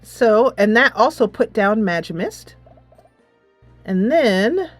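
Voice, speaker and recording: A woman's voice: mid-range voice, expressive, takes it slowly, no echo, faint music behind. A woman gaming host giving casual commentary, thinking aloud as she plays a tactics game.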